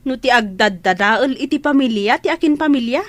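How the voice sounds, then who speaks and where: A woman's voice: fairly high pitch, expressive, a little fast, no room sound, lightly acted. A woman playing an indignant, scornful gossiping younger sister-in-law in an Ilocano radio drama, with an Ilocano accent.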